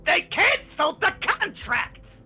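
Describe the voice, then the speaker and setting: A man voicing a drunk, furious male thug who shouts threats in a video game read-along. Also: extremely high pitch, sing-song, slow pace, soft background music, pitched above the performer's own voice, full character voice. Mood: enraged shouting.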